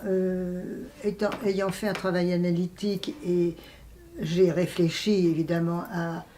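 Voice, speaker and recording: A woman answers in a reflective interview. She speaks slowly and hesitantly, searching for her words with frequent pauses. Her pitch sits in a middle range for a woman. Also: mellow. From France. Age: 80s. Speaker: interviewee, writer and translator.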